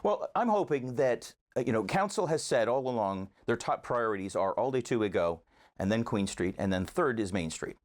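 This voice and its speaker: A man's voice: medium pitch, expressive, normal speed. A man giving conversational interview answers as a local advocate, earnestly arguing his case point by point.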